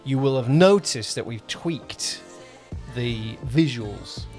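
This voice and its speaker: A man of average pitch hosts a casual producer podcast. He talks in a conversational, loosely phrased way with some hesitation. Music plays behind his voice. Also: animated, slow, a little mellow. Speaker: podcast host, audio drama producer and director. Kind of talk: casual two-person podcast chat.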